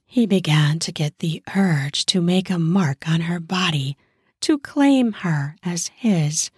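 A woman narrating a scripted erotic story in an intimate, deliberate manner with drawn-out phrasing. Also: lowish voice, expressive, a little mellow, husky, no echo, clean studio sound.

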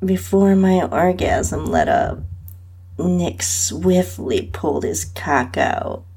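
A woman host reading a first-person erotic story in an intimate, sensual, drawn-out and breathy way. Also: fairly low pitch. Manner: breathy, sensual, drawn-out delivery with soft moans. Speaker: podcast host and narrator reading own story.

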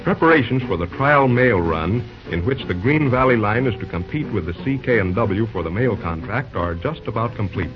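A man's voice: lowish voice, animated, moderate pace, hardly any pauses, over music. A man giving scripted narration as an announcer for an old-time radio drama. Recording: muffled old radio recording.

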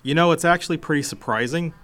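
A man's voice: fairly high pitch, fast talker, a bit bright, lightly acted. An actor playing a friendly, chatty repair shop man in an audio drama, with a folksy, easygoing manner. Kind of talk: naturalistic audio drama acting.

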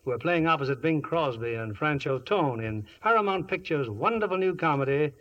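A man's voice: on the high side, animated, brisk, muffled recording. An American man hosting and narrating a scripted 1950s radio show. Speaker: host and narrator. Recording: band-limited old radio broadcast.

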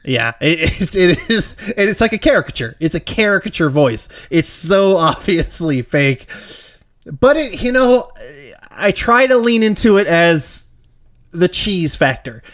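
A man from Georgia chatting about a film on a podcast, sitting a little high in pitch. He talks in an animated way and laughs often. His voice leaps up whenever he gets excited. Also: a little slow. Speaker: podcast co-host. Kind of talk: casual movie review chat.

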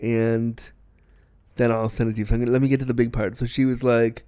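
A man's voice: medium pitch, little pitch movement, fast, warm tone, clear, resonant tone, no room sound. A man hosting a podcast, chatting casually and rambling, sniffly with a cold. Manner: chatty, rambling, repeats himself. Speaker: podcast host. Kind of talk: casual solo podcast chat.

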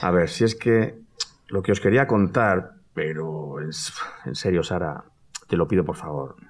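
An actor playing a nervous, eager dinner host in audio fiction, stammering and repeating himself. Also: male voice, low voice, normal speed, breathy edge. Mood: pleading, evasive. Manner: stammering, repeating words, flustered. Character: nervous, eager, evasive male dinner host among friends. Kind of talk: live-recorded audio fiction acting.

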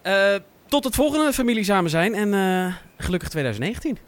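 A man's voice: high voice, very animated, brisk. A man playing a dry, bookish grown-up son in a radio drama, with an understated manner. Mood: polite. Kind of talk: naturalistic Dutch radio drama acting.

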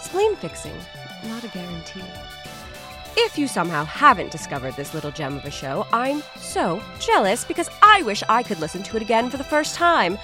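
Woman podcast host, super animated pitch, jokey theatrical promo read, bit of music under. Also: moderate pace. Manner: playful, theatrical, deadpan asides. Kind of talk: comedic scripted podcast promo. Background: faint music.

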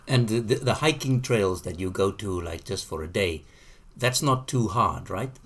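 Male podcast host, lowish voice, steady interviewer, studio mic. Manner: measured, interviewer questions with fillers.

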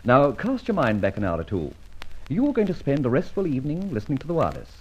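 An actor in a vintage radio drama playing a suave, teasing gentleman thief, speaking in wordy, mock-pompous theatrical banter. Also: medium pitch, huge pitch swings, fast talker, a little hiss or hum. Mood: smooth, teasing. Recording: dull, muffled old radio recording.

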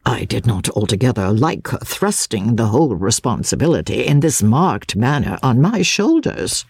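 A woman voicing an elderly gentleman lawyer who narrates a classic novel in a formal, deliberate, self-assured manner. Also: a bass voice, big pitch swings, slightly rough, dry recording, pitched below the performer's own voice, heavily acted. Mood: measured, piqued. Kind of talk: first-person literary narration.

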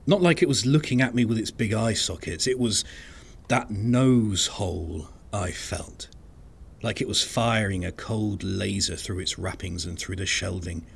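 A man giving scripted first-person narration of an eerie story in a measured, uneasy way. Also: fairly low pitch, vocal fry, husky.

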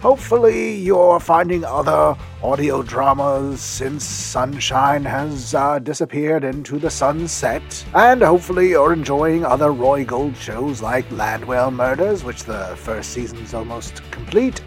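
Eccentric podcast host played by a man, higher voice, rambling and self-interrupting promo chat, soft music behind. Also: measured pace. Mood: rambling, sheepish. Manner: rambling, self-interrupting, swinging from muttering to hearty. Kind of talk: chatty promotional monologue.

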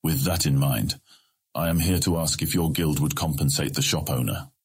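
A scheming merchant guildmaster in a fantasy audio drama. His voice is extremely low and raspy. He speaks formally, with a measured, placating politeness. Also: full character voice. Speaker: voice actor in audiobook. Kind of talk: full-cast fantasy audiobook acting.

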